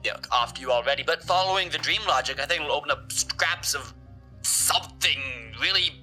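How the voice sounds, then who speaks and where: A man's voice: on the high side, brisk, pushed and bright, soft background music. A man playing a weary but resolute young friend in an audio drama, earnest and wry. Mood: urgent.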